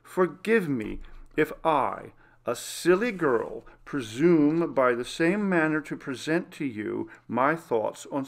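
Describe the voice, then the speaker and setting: A man reading a classic Gothic story aloud as scripted narration, in a measured, formal literary manner. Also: fairly high pitch, fairly bright tone. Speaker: audiobook reader.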